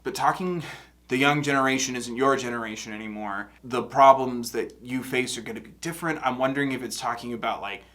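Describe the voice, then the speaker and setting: A man's voice: medium pitch, fairly even intonation, fairly quick, sharp tone. A young man with a congested nose, musing casually in a reaction video and rambling with many fillers and restarts. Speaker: music reaction host.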